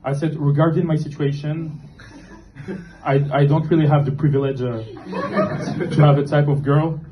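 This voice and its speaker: A young man delivers a self-deprecating stand-up set on a club stage, dry and deadpan, stumbling over fillers. His voice sits a touch high for a man, and the recording carries a clear layer of background noise with audience laughter. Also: moderate pace, dull audio. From Morocco. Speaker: stand-up comedian. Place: comedy club with live audience.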